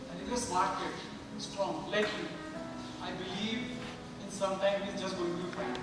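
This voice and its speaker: A man's voice: high voice, flat delivery, normal speed, recorded from a distance, close to an everyday voice. A man acting in a stage play as a chatty, caring nurse and worried parent. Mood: concerned. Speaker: amateur stage actor. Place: stage in a large hall. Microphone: distant microphone. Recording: very echoey hall from afar, music.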